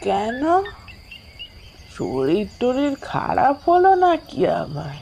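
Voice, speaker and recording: A woman voicing a caring, shrewd old village grandmother in a cartoon, speaking in a measured, inquisitive way. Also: fairly high pitch, huge pitch swings, vocal fry, mellow, dull audio, a little hiss or hum, exaggerated voice. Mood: curious. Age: older. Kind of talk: Bengali moral-tale cartoon voice acting.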